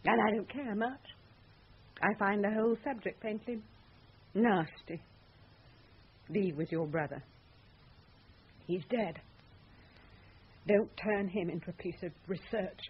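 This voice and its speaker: A woman's voice: mid-range voice, measured pace, long pauses, slightly rough. A woman acting in a radio drama as a scornful, exasperated wife with a mocking, cutting manner. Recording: tinny, band-limited radio drama recording.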